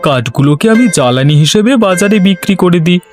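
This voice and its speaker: A man's voice: sits high, lively intonation, fast talker, a little mellow. A man voicing a weary but self-assured village woodcutter in a cartoon, chatting and grumbling. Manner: conversational, grumbling. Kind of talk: cartoon story voice acting. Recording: music behind the voice.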